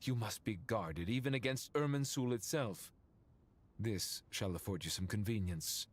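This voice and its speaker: A man's voice: fairly low pitch, moderate pace, natural voice. A man playing a stern, imperious ancient spirit in a video game, speaking in a grand, declamatory way. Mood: grand, solemn.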